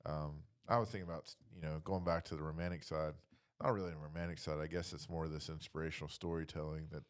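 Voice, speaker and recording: A man with a low voice chats in a relaxed, informal way. He is hesitant, often searching for words as he leads the discussion. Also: quick pace. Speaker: podcast panelist leading the questions. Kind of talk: casual chat.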